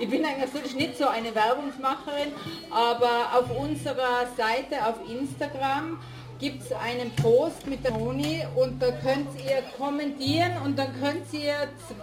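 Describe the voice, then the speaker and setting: High-voiced woman host, echoey. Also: a little mellow. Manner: friendly, informal, small slips. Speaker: event host. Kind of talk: live event announcement. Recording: echoey room.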